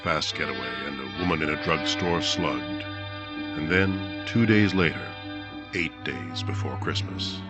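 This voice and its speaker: A grave true-crime narrator, played by a man, with an extremely deep voice. He delivers measured, portentous lines in vintage radio style. Prominent music plays underneath him. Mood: ominous. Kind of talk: dramatic second-person scripted narration, old-time radio. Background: dramatic music.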